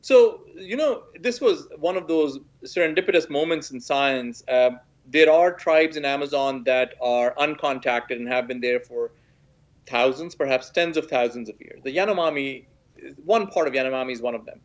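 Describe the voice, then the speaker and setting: A man in his forties from Pakistan, a professor explaining science as an interview guest over a home video call, measured and full of "sort of" hedges. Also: medium pitch. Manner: measured, hedging with "sort of", frequent restarts. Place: small room at home, indoors. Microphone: laptop webcam video call. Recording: dull lo-fi video call audio.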